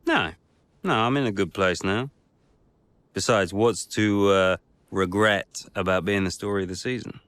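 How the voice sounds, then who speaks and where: Interviewed racer: self-satisfied, guarded, very low voice, close dry sound. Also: male voice, measured pace, fairly bright tone, clean tone, no echo. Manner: guarded, dismissive.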